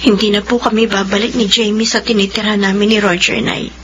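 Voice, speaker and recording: A woman's voice: mid-range voice, little pitch movement, brisk, fairly bright tone, a little hiss or hum, natural voice. An actress with a Filipino accent playing a hurt, resolute, hardworking wife and mother in a radio drama. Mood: weary, tearful.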